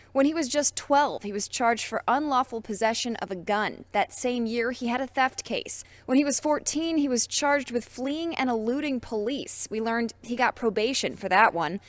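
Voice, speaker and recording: Lady tv reporter reading a scripted news story, voice a bit high.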